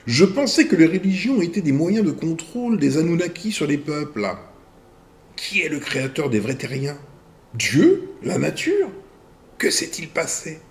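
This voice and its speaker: Gravelly man with a lively, swinging voice. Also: a little high. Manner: deliberate, broken into short phrases with pauses. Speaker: video channel host. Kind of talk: reading viewer messages aloud and answering them.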